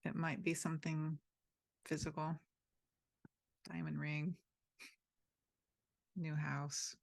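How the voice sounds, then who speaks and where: A woman leading a guided meditation, speaking softly and gently inviting the listener, with pauses between phrases. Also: deep voice, level tone, vocal fry, no echo. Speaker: meditation teacher.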